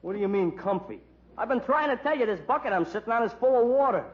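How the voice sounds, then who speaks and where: A man's voice: very high-pitched, expressive, fast. A man acting a shady, wisecracking small-time crook and informant in a radio crime comedy, with slangy, streetwise talk. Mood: pleading, sly. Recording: dull lo-fi recording.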